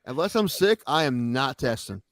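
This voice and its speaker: A man's voice: mid-range voice, animated, moderate pace, a bit bright. A man co-hosting a podcast in casual chat, rambling and swearing, a little sniffly. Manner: profane, rambling, worried. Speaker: podcast co-host.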